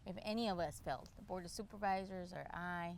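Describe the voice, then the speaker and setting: A woman's voice: fairly low pitch, fast talker, rough recording. Middle-aged woman thinking aloud, background noise. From El Salvador. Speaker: interview guest, law enforcement candidate for office. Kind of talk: casual, candid interview answers.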